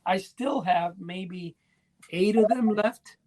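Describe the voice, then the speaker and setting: A man's voice: high voice, takes it slowly, a little mellow. A middle-aged man chatting casually as a podcast co-host, explaining in a rambling way and correcting himself as he goes.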